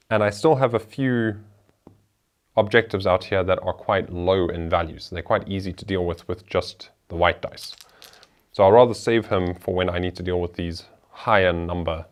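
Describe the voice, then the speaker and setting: A man hosting a board game show, talking through the rules and each move of a solo playthrough in a chatty way. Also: lowish voice.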